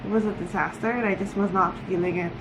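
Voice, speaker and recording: A woman's voice: mid-range voice, fairly even intonation, quick pace, background noise. A woman talking casually and giggly to viewers in a rambling, conversational video diary, recorded indoors at home. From Philippines. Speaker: book vlogger.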